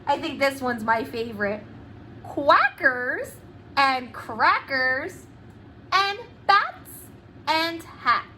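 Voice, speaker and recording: Bright, high, animated woman teaching preschoolers. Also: slight background noise. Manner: enunciates words deliberately, asks the children questions. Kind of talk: animated read-aloud lesson for young children.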